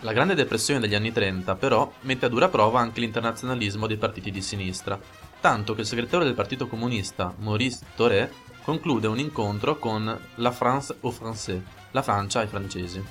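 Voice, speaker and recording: A man's voice: lowish voice, brisk, fairly bright tone. A man co-hosting a radio program, reading scripted historical narration in a formal, informative way. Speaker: radio host. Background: music under the voice.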